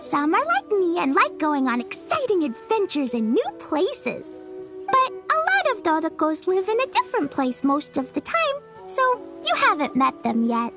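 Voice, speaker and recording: A bubbly little game-character girl, eager and mischievous. Her childlike voice is very high and leaps wildly in pitch, with children's music clearly behind it. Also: huge pitch swings, moderate pace, full character voice. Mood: explaining eagerly. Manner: eager, breezy explaining. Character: exuberant, mischievous little girl, innocent and adventurous. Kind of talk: video game voice acting.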